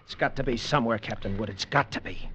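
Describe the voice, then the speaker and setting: An actor playing a guilt-ridden, weary man who gravely narrates a tale of terror at sea in old radio drama style. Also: medium pitch, background noise, lightly acted. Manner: grave, deliberate, literary delivery. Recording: muffled vintage radio recording with music. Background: dramatic music.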